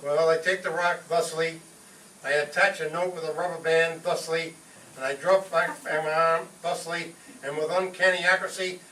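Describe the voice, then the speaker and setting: A man's voice: on the high side, fairly even intonation, normal speed, sharp tone. A man playing a hot-headed, scheming father in an old-time radio comedy, pompous, indignant and theatrical. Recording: roomy distant stage recording, faint noise.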